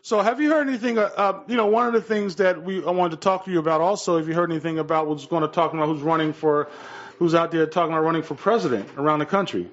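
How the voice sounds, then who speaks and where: Male talk radio host, higher register, hesitant and agreeable delivery, somewhat lo-fi sound. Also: fast talker. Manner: hesitant, repetitive phrasing, often echoing and agreeing. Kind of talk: political talk radio conversation.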